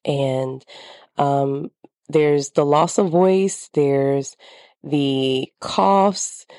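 A woman's voice: low-pitched, extremely slow, mellow, dry recording, studio quality. A woman podcast host with a slightly congested, nasal voice, talking candidly in a reflective personal monologue.

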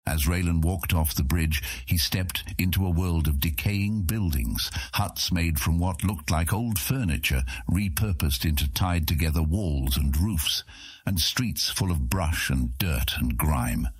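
A man's voice: sits low, measured pace, husky, no echo. A man reading scripted fantasy narration as an audiobook narrator.

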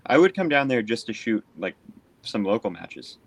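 A man's voice: on the low side, big pitch swings, moderate pace, a little hiss or hum. A man, chatting casually. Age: late 20s. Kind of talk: casual chat.

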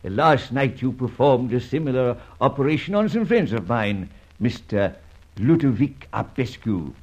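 An actor playing a debonair, mocking gentleman adventurer, suave and theatrically polite, in an old-time radio serial. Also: medium pitch, animated, moderate pace, breathy edge. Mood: triumphant, taunting. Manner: suave, teasing, theatrically polite, hesitant drawl. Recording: muffled vintage radio serial recording.

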